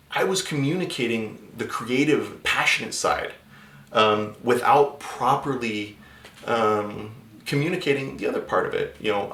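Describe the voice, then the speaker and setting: A man's voice: mid-range voice, creaky, a bit bright, echoey room. A man giving casual, conversational job-search advice in a vlog, often restarting his sentences. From native English speaker. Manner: conversational, many restarts and filler words.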